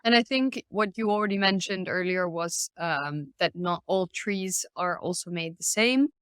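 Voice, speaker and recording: Smooth-voiced woman hosting. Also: lowish voice, measured pace, fairly bright tone, dry recording, studio quality. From Finland. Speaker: host. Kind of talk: casual podcast discussion.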